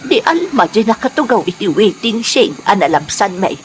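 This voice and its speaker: A woman's voice: mid-range voice, very animated, normal speed, close to an everyday voice. A woman acting a worried, gossiping older mother in an Ilocano drama, scolding and disapproving.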